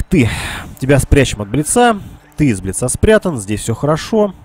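A man's voice: on the low side, darkish tone. A man, congested and nasal from a cold, casually commentating a strategy game live for his chat and thinking aloud. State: sick with a cold. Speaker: game streamer.

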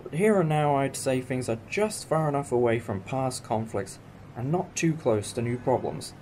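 A man's voice: medium pitch, animated, normal speed, a little mellow, slight background noise, lightly acted. A British man playing an eccentric, enthusiastic alien time traveller in a fan audio drama, musing like a professor. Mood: musing, upbeat. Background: music.

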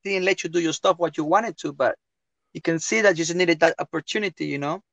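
Middle-aged guy from south america, slightly high voice, dry close webcam sound. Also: fast talker, creaky, a bit bright, no room sound, highs cut off. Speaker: guest clown. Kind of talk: casual interview chat. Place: home room with tiled wall. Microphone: webcam on video call.

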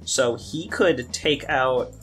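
A young man chatting casually and rambling, often halting and repeating his words. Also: medium pitch, a bit bright. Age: young adult. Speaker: podcast guest and game maker. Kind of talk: casual chat. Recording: music playing under the talk. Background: guitar music.

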